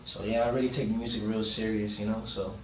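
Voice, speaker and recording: A man's voice: on the low side, flat delivery, a little fast, a little mellow. A man giving a casual podcast interview as a guest, speaking informally with plenty of slang. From Miami, Florida, USA. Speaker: guest singer and producer. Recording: roomy, distant mic, faint noise.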